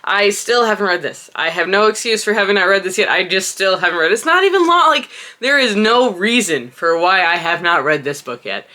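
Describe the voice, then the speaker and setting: A woman's voice: medium pitch, lively intonation, a little fast, pushed and bright. Sniffly woman chatting about books. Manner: chatty, thinks aloud with small self-corrections. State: stuffy from a cold, sniffly. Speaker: book channel host. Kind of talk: casual book chat to camera.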